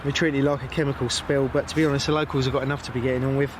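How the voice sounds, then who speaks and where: A man's voice: medium pitch, little pitch movement, rapid-fire, close to an everyday voice. A man acting as an easygoing, matter-of-fact British police constable in an audio drama. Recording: distant mic, clear outdoor vehicle noise.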